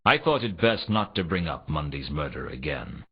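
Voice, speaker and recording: An actor playing a world-weary, sardonic noir private detective in a video game, with a dry, deadpan delivery. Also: male voice, rumbling low, normal speed, slightly rough, heavily acted. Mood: dry, brooding. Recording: dull, lo-fi game audio.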